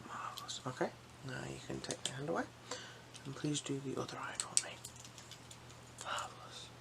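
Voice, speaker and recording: An ASMR performer role-playing an eye examiner, giving gentle, unhurried, reassuring instructions in a breathy whisper mixed with soft speech. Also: medium pitch, very noisy.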